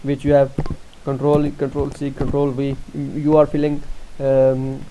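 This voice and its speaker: A young man from Pakistan with a Pakistani accent, explaining an online form step by step, halting often with fillers and restarts. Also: mid-range voice, completely flat delivery, narrow, tinny audio, slight background noise. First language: Urdu. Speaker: tutorial presenter. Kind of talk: screen-recorded walkthrough.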